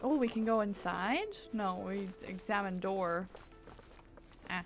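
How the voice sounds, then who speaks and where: A woman's voice: mid-range voice, expressive, takes it slowly. A woman streamer giving casual, reactive game commentary with wry, sarcastic asides. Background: game music.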